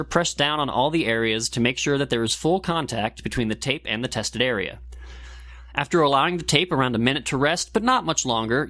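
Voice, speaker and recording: A man's voice: a little high, a bit bright, dry recording. A man presenting a scripted instructional segment in a methodical, step-by-step way. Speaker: segment presenter and lab technician. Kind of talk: scripted explainer narration.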